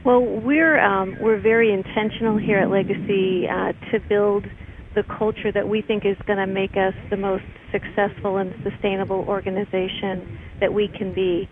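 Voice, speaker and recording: A woman, a company vice president and interview guest, answering in a measured way with some hesitation. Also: medium pitch, fairly even intonation. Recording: phone line with faint noise.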